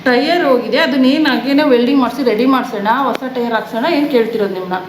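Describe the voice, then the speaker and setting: A woman making an improvised prank phone call as service staff, deadpan and insistent, repeating herself. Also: a little high, rapid-fire, roomy sound, a little hiss or hum. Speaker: prank caller posing as car service staff.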